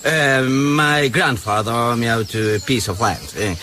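An actor playing a brash Polish émigré back from America in a radio comedy, with an Americanised accent, groping for words. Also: male voice, mid-range voice, bright, forceful tone, a little hiss or hum. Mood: halting, self-important. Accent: Americanised Polish, peppered with English. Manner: halting, searching for words, blunt. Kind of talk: radio comedy play acting.

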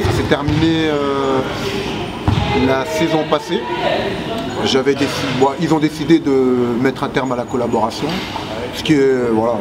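A man in his forties, a basketball player, answering interview questions with many pauses and restarts, seated in empty arena stands. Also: fairly high pitch. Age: 40s. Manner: hesitant, many fillers and restarts. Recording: loud background noise.

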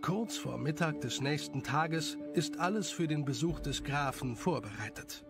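Man, storybook narrator, gravelly creak, slightly high pitch, music underneath. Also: measured pace.

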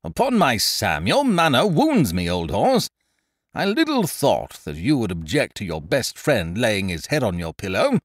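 A man voicing a loud, hearty, scheming young gentleman adventurer who blusters expansively. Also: medium pitch, wildly swooping pitch, a little slow, no echo, studio quality, pitched above the performer's own voice.

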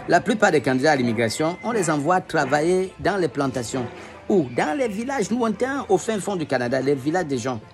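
A man talking earnestly to his phone camera, making an argument. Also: fairly high pitch. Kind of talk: opinion video commentary.

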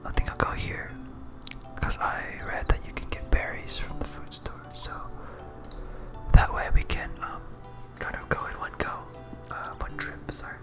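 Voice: normal speed, over music. A person whispering a hesitant, absent-minded ASMR playthrough of a video game, thinking aloud close to the microphone. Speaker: gaming video host.

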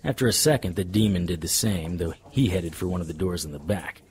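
An actor playing a wry, deadpan, hardboiled supernatural private investigator narrating a noir radio drama in a husky voice. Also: male voice, low voice, a little fast, natural voice. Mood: wry, watchful. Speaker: narrator and lead.

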